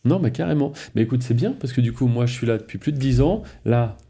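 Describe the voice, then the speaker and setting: A man hosting a podcast interview, chatting casually and relaxed, often tossing in little asides to his guest. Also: medium pitch, quick pace, creaky, breathy edge. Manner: relaxed, chatty, frequent tu vois. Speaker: podcast host.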